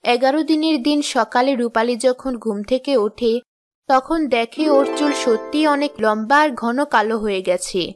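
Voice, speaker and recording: High-voiced woman narrating a story. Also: moderate pace, faint music behind.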